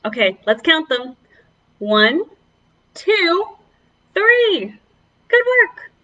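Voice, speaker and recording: A woman's voice: very high voice, sing-song, very slow, pushed and bright, muffled recording. A woman children's librarian leading a preschool storytime, speaking to young children in an encouraging, exaggerated way.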